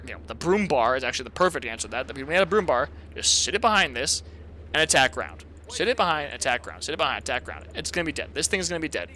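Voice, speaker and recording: A man casually commentating a strategy game replay, excitable and laughing often, talking to his chat, his voice a bit hoarse. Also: a little high, a little hiss or hum. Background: video game gunfire and artillery.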